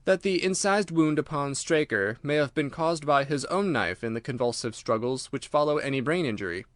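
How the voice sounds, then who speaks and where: A man voicing an earnest, curious gentleman, a detective's companion, in an audiobook, polite and questioning. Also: fairly high pitch, lively intonation, normal speed, dry recording, clean studio sound, close to an everyday voice. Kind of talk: audiobook character dialogue.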